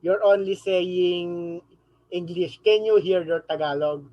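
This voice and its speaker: A man hosting an interview, asking questions in halting, simple phrases and repeating words. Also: high-pitched, fairly even intonation, muffled recording. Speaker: interview host.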